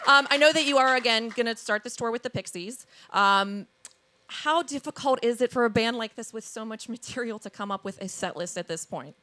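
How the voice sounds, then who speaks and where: A woman's voice: a little high, brisk, bright, forceful tone, a little hiss or hum. An American woman hosting a live stage interview and making announcements in an enthusiastic, fan-like manner. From Pittsburgh, United States. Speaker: host. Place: on stage at a small theater. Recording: live theater with faint crowd.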